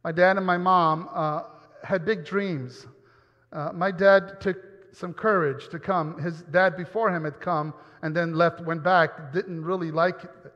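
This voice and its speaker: A man's voice: a little high, steady tone, measured pace. Earnest man preaching in a reverberant hall. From New York, US. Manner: earnest, repeats words when stumbling. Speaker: pastor. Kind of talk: personal testimony sermon. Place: church. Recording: dull sound with long hall reverb.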